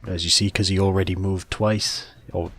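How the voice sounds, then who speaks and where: Man, lowish voice, game walkthrough host, matter-of-fact explanations, game music behind. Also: level tone, moderate pace, breathy edge. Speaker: gaming video host. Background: video game music and sounds.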